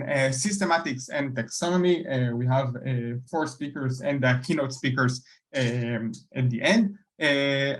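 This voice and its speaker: A man chairing a session of an online meeting, introducing speakers in a halting way with many fillers. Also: mid-range voice, fairly bright tone.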